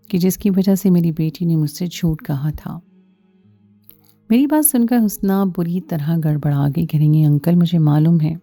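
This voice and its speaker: A woman's voice: fairly low pitch, gravelly, warm tone, no echo. A woman reading a scripted first-person story as its narrator, with music behind her.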